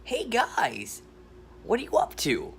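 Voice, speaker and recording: A man's voice: high voice, huge pitch swings, slow pace, creaky, fairly bright tone, soft background music, voice pushed up, exaggerated voice. A man voicing a kind young male gator who stammers and apologizes in a storybook reading. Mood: excited.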